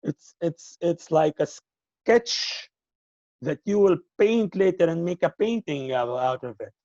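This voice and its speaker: Halting man, native Arabic speaker. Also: a little high, animated, darkish tone, dry recording, highs cut off. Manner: halting, repeats words while searching for them. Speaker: filmmaker. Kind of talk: interview answers.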